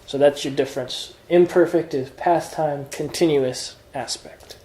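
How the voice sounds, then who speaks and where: A man's voice: a little high, a little slow, dark tone, roomy sound, a little hiss or hum, poor audio. A man teaching introductory Greek grammar in an informal lesson, explaining patiently step by step with examples. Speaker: Greek tutor.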